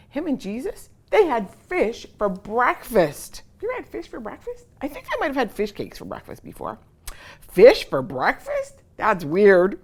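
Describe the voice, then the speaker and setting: Very animated woman reading to children. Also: medium pitch. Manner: expressive, asking listeners questions. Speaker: children's story time host, reading aloud. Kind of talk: animated picture-book read-aloud for children.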